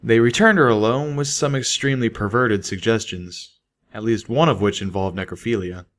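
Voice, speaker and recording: A man's voice: lowish voice, normal speed, fairly bright tone. A man reading a scripted first-person story as an audiobook narrator. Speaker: audiobook reader.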